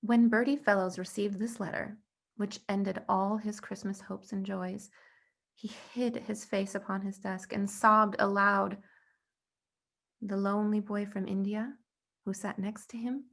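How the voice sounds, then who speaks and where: A woman's voice: medium pitch, flat delivery, measured pace, vocal fry, a bit bright. A woman reading a storybook narration aloud as the storyteller. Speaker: story reader.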